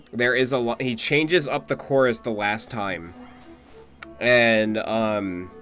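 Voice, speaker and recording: Halting young man streaming, game music behind. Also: mid-range voice, fairly bright tone. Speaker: game livestreamer. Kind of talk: casual stream chat.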